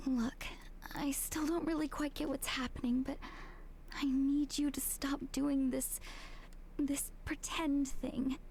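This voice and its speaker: A woman acting a hesitant, troubled young female protagonist in a visual novel, speaking in a halting, tentative way. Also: high voice, warm tone, a little hiss or hum. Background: game music.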